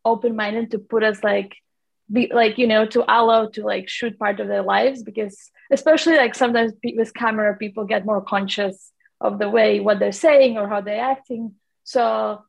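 A woman's voice: on the high side, vocal fry, highs cut off. A woman from Latvia with a Latvian accent, a documentary producer answering interview questions in a rambling way with many fillers and restarts.

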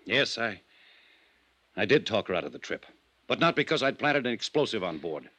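A man's voice: on the low side, big pitch swings, moderate pace, bright, forceful tone, exaggerated voice. An actor playing a bitter, defensive businessman under suspicion, in earnest 1950s radio drama style. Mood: bitter, resigned.

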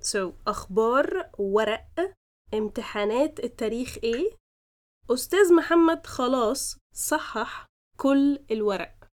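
A woman hosting a podcast, teaching phrases slowly and explaining each example in turn. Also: a little high, animated. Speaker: podcast host and Arabic teacher. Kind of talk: language lesson podcast.

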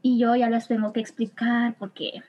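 A woman's voice: on the high side, little pitch movement, moderate pace, muffled recording. A young woman in a casual group conversation, explaining things and laughing lightly now and then. Her voice sits slightly above the middle for a woman. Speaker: podcast guest, student.

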